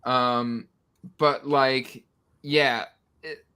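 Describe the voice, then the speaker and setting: A man with a bright, forceful voice at an average pitch talks casually about books. He is an animated panelist who slips into mocking versions of characters' lines. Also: drawn-out words, clear, resonant tone. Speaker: book discussion panelist. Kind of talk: casual book chat. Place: indoors on a livestream video call.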